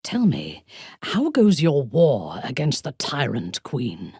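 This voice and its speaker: A ruthless female drow commander for a fantasy video game. Her voice is low and husky, haughty and menacing, and she takes her time while swinging widely in pitch. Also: huge pitch swings, slow pace, darkish tone, dry recording, clean studio sound, exaggerated voice. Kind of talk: dramatic fantasy game acting.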